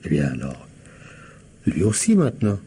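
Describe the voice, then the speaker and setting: An actor playing a shrewd, courteous police commissioner in a radio mystery drama, husky and politely insinuating as he questions methodically. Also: low voice, wildly swooping pitch, moderate pace, dark tone, exaggerated voice. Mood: probing, insistent.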